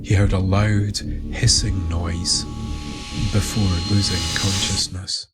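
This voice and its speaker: A man narrating a scripted documentary story in a measured, deliberate manner. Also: low-pitched, steady tone. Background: music.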